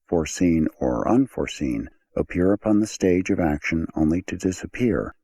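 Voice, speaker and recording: A man narrates a scripted audiobook in a very deep voice. It is gravelly and husky in texture. His delivery is measured and formal. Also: warm tone.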